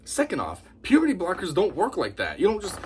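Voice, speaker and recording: A man with a slightly high, husky voice speaks in an opinionated monologue to camera. His delivery swings widely up and down as he talks scornfully and energetically. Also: brisk. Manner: animated, scornful, mocks opponents in put-on voices. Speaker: YouTube commentator.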